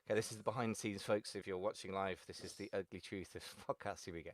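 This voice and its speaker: Male podcast host, playful and chatty, lowish voice, dry close sound, video call mic. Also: steady tone, fast, dry recording. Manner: playful, chatty, jokey openers. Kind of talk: casual podcast interviewing.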